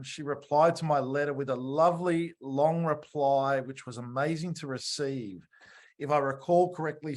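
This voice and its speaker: Casting: male co-host, medium pitch, casual interview delivery, reads listener messages.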